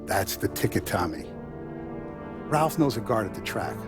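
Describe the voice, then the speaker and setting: A measured, shrewd mob boss. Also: male voice, lowish voice, very animated. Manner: measured, authoritative. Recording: game cutscene with music.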